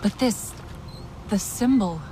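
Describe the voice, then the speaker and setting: Earnest young alien seeker. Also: female voice, medium pitch, animated, slow pace, warm tone, slight background noise. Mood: searching, earnest. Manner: earnest, questioning. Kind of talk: video game character acting.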